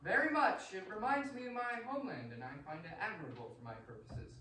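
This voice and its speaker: A man playing a courtly, sinister vampire nobleman in a radio play, speaking formally and with deliberate, menacing politeness. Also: high voice, lively intonation, sharp tone. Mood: ominously polite. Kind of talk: theatrical radio drama acting. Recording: very echoey room, distant mic, faint noise.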